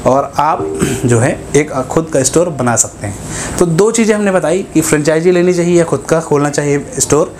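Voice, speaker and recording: A man's voice: fairly high pitch, moderate pace. Young guy on a lapel mic giving business tips, chatty, noisy hiss behind. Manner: conversational, keeps asking "है ना", gestures with both hands. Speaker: business advice YouTuber. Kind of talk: casual explainer to camera. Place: indoors, grocery-store backdrop.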